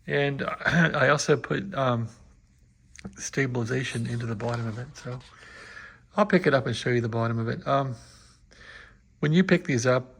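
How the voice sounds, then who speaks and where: A man's voice: medium pitch, normal speed, vocal fry, pauses often, fairly bright tone, husky. A man giving a casual hands-on demo of restored equipment, chatty and explaining as he works at an indoor workbench. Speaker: equipment restorer demonstrating a receiver.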